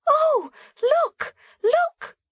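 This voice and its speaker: A woman doing an excited little mountain girl. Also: slow pace, no echo, highs cut off, full character voice. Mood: awestruck. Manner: eager, exclaiming, high and breathless.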